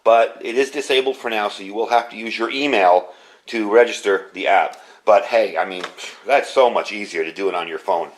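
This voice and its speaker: A man's voice: fairly low pitch, normal speed, slight background noise. A middle-aged man with an American accent giving a casual, enthusiastic product review in an informal, conversational way. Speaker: product reviewer, amateur radio hobbyist.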